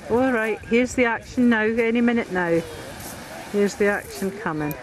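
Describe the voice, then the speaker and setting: A woman's voice: fairly high pitch, normal speed, poor audio. A woman filming her family at the seaside, chatting and laughing her way through a casual home-video commentary. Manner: chatty, amused, laughing often. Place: pebble beach by the sea, outdoors. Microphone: handheld camcorder mic. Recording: camcorder with wind and surf noise.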